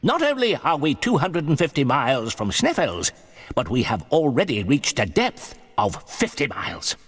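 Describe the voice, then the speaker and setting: Man acting an excitable, husky old professor. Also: medium pitch, normal speed. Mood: triumphant, assured. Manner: declamatory, theatrical, self-assured. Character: excitable older male professor, pompous and eager uncle. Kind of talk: dramatised audiobook storytelling. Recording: long hall reverb.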